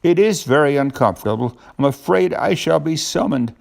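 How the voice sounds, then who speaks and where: Anxious terrier shopkeeper read by an older male storyteller, gravelly, animated pitch, formal and fretting, storybook. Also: normal speed. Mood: worried.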